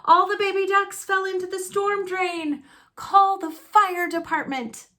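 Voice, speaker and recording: A panicked townswoman from a children's story, as a woman reader acts her out. Her voice is pitched sharply up, urgent and exclaiming as she cries for help. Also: fairly even intonation, unhurried, pushed and bright, heavily acted. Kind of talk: storybook character voice.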